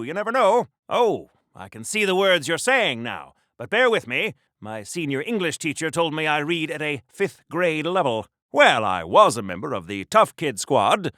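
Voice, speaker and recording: An old-fashioned video game superhero, earnest and a little awkward. His voice sits fairly high and swings widely in pitch, with a bright, forceful ring. He chatters primly in quaint mid-century exclamations, recorded close and dry. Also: sing-song, moderate pace, hardly any pauses, no echo. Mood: earnest, chatty. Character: earnest, awkward, prudish old-time golden age superhero.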